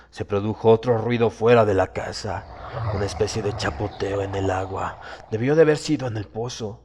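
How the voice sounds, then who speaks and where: Male horror audiobook narrator, fairly deep, deliberate and suspenseful, scripted dramatic read with music underneath. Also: darkish tone. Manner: suspenseful, theatrical, deliberate phrasing.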